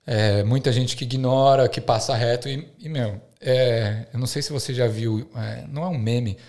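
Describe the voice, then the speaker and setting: A man from Brazil with a medium-pitched voice hosts a casual podcast conversation. He asks curious questions and often completes his guest's sentences. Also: fairly even intonation, moderate pace, darkish tone. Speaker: podcast host. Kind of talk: casual interview chat.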